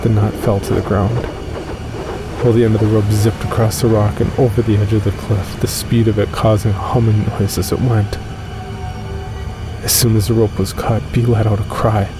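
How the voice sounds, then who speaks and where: A man playing a shaken, weary caver who tells his horror story from a journal in a measured, solemn way. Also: on the low side, natural voice, poor audio. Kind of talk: first-person horror narration.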